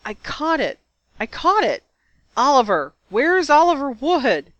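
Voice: high-pitched, very animated, a little slow, fairly bright tone, dry recording, muffled recording, voice pushed up, exaggerated voice. An injured young male athlete in a fantasy audiobook, voiced by the narrator, sounds dazed and emotional. The recording is dry and close. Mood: elated shouting.

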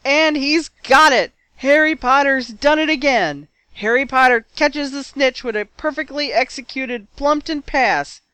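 A narrator voicing an excited male sports commentator at a wizard match, announcing in triumphant shouts. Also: sits high, measured pace, hardly any pauses, dry recording, voice pushed up.

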